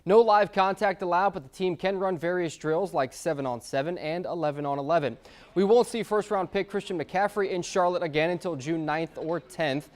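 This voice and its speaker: A man's voice: sits high, moderate pace. An American man reading scripted sports news as a television anchor.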